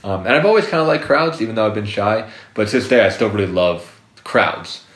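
Man, low voice, rambling, filler-heavy, casual interview, echoey room. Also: brisk, a bit bright. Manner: rambling, many fillers and restarts. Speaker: guest psychotherapist.

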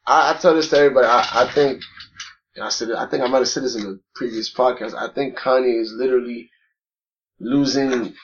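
A man's voice: medium pitch, steady tone, fairly quick, muffled recording, slight background noise. A man co-hosting a podcast in casual chat, swearing often and restarting his sentences as he talks. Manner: loose, profane, many restarts and fillers. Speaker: podcast co-host.

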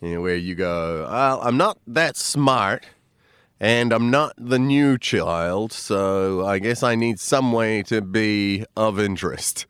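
A man's voice: on the low side, slow pace, fairly bright tone, clean tone, dry recording, studio quality. A man, a comedian chatting as a podcast guest, rambling and self-deprecating with lots of fillers. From New Zealand. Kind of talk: casual interview chat.

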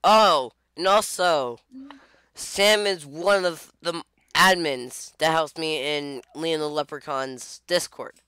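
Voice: medium pitch, slow, pushed and bright, clean tone, no echo, studio quality. A playful, giggly podcast host chatting casually and teasing friends in a group voice call.

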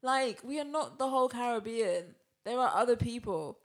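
A woman's voice: a little high, animated, normal speed. A woman co-hosting a podcast, argumentative and insistent, often repeating herself to hold the floor. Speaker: podcast co-host. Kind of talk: casual podcast chat.

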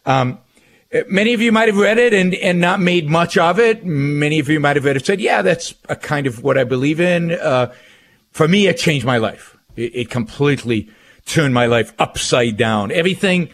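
A man's voice: fairly high pitch, expressive, moderate pace. A middle-aged man with an Israeli accent, talking off the cuff as a radio host in an emphatic way, often repeating phrases. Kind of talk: talk radio monologue.

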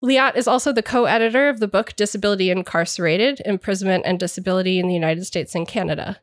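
A woman's voice: medium pitch, fast, no room sound, clean studio sound. A woman hosting a podcast, a little congested from a cold, speaking in an earnest, explanatory way. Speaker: podcast host. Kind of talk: scripted podcast intro and discussion.

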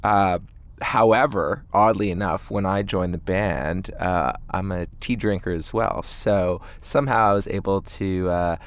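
A man, a band singer chatting casually in an interview with halting, hesitant phrasing. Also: deep voice. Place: inside a parked tour van.

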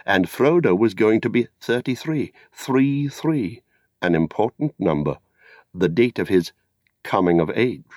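Deep-voiced British storyteller, expressive and dry-recorded. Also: male voice, a little slow, dry recording. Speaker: audiobook narrator. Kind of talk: scripted literary storytelling narration.

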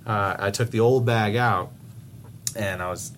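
A man co-hosting a podcast in casual chat, rambling with many restarts, swearing freely and getting animated as he tells stories. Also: on the low side, unhurried, a little hiss or hum. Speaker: podcast co-host.

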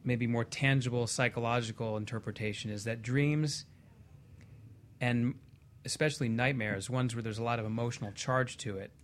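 A man speaking as a guest expert in a panel discussion, giving measured explanations with careful, halting phrasing. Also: medium pitch, steady tone, a little hiss or hum.

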